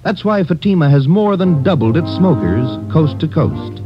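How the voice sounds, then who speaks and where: A man delivering an emphatic 1950s radio sales pitch as a commercial announcer. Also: lively intonation, moderate pace, music in the background. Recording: muffled vintage radio recording.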